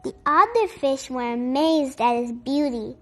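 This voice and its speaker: Lady reading kids story, high voice, really slow and expressive, bit of music behind. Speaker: storytime read-aloud host. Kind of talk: children's storybook narration.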